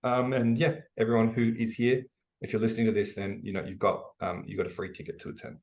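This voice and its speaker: A man's voice: fairly low pitch, vocal fry. A man in his forties with an Australian accent, a guest on a podcast telling stories with energy and lots of fillers. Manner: energetic storytelling, quick with many fillers. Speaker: guest, e-commerce educator. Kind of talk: podcast interview.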